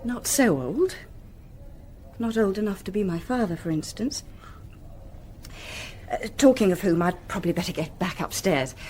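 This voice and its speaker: A woman's voice: mid-range voice, expressive, moderate pace, pauses often, dark tone, a little hiss or hum, natural voice. An actress playing a helpful young female librarian in a radio drama, with a polite, hesitant manner. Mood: friendly, bashful.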